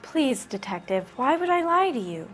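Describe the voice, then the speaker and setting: A woman's voice: high voice, very animated, moderate pace, soft background music. An actress voicing a naive, frightened teenage girl who speaks in a timid, pleading way.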